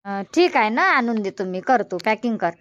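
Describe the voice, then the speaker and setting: Woman playing a high-voiced rural Marathi village granny. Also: animated, moderate pace, no echo, dull audio, close to an everyday voice. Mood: instructing. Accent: Varhadi Marathi from Vidarbha. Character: older rural Marathi mother-in-law and grandmother, homely, instructing. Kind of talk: amateur cartoon comedy dubbing in village dialect.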